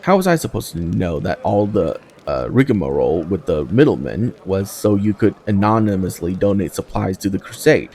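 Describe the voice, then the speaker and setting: A man's voice: low voice, sing-song, normal speed, few pauses, darkish tone. A man voicing a plucky, plain-spoken young woman soldier from a fantasy game, with a breezy, wry manner. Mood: frank, chatty.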